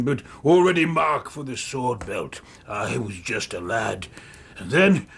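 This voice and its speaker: An actor playing a bitter, crippled older ex-soldier telling his story in a radio drama, halting and emotional, with a rasping voice. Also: on the high side, a little hiss or hum. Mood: reminiscing.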